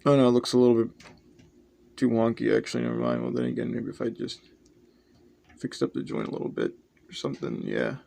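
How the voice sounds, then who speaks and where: A man's voice: medium pitch, wildly swooping pitch, a little fast, pauses often, darkish tone. A young man chatting casually and offhandedly as he shows off a hobby project, rambling and self-deprecating. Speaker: host of a toy customizing channel. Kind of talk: casual hobby showcase chat. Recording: clearly noisy homemade recording.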